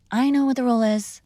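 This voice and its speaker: A woman's voice: a little high, mellow, no room sound, clean studio sound. A woman playing a mob boss's spoiled, bratty young adult daughter in an intimate roleplay, speaking casually with sarcastic, exasperated argument. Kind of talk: intimate ASMR roleplay acting, one-sided conversation.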